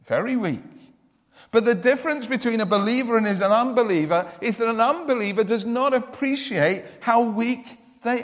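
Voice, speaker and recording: A man with a loud, high voice preaches slowly and deliberately, stressing his points and often repeating words as he starts each thought. His words ring out with the long reverb of a large church hall. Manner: emphatic, repeats words when starting sentences. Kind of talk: sermon.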